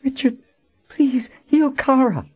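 A high, quivering young woman's voice, wounded and frantic, close to tears, as a male narrator puts her on. Her pleading breaks in a tearful, breathy plea. The audiobook sound is a little dull and lo-fi. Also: expressive, slow pace, faint music behind, heavily acted. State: crying, breathless.

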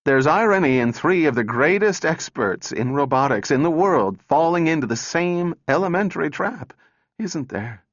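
A man's voice: fairly low pitch, sing-song, slow, exaggerated voice. A man voicing a sardonic, overwrought woman scientist in a storybook reading, sneering and intense. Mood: strained, brittle. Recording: echoey room, dull muffled sound.

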